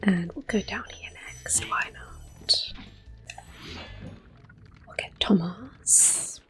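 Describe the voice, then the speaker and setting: A woman talks through a video game in a slow, soft ASMR whisper. Her pitch stays in a middle range, and her delivery is unhurried and gentle. Faint game music plays underneath. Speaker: ASMR gaming host. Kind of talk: soft-spoken ASMR gameplay commentary.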